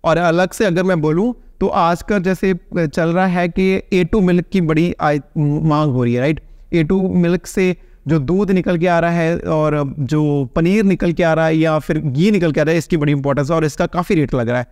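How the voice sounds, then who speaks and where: A man's voice: a little high, moderate pace, a little mellow, clear, resonant tone, dry recording, studio quality. A man in his thirties, a veterinarian, explaining to camera in a casual, conversational way and often checking in with "right?". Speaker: veterinarian answering viewer questions. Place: small plain indoor room, seated.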